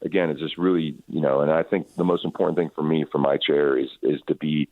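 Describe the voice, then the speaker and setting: A man, a soccer coach, answering radio interview questions in a halting, thoughtful way, often repeating words as he works out his point. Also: rumbling low, steady tone, no room sound. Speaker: professional soccer head coach. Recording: muffled narrowband phone line.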